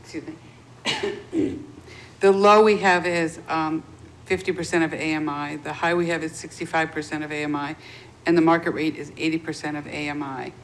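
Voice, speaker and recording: A woman's voice: deep voice, steady tone, normal speed, slight background noise, low-quality recording. A woman serving as committee clerk, asking polite, careful clarifying questions at a city meeting.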